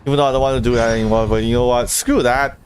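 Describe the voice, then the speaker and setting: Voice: mid-range voice, steady tone, fast talker, soft background music. A video game streamer giving casual, rambling live commentary and reacting aloud to the game.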